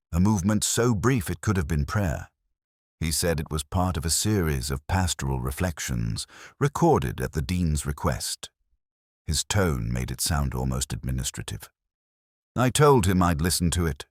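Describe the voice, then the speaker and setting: Brief: male narrator for detective drama, extremely deep, measured and literary, lively in inflection. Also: no echo, clean studio sound. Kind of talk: scripted first-person detective narration.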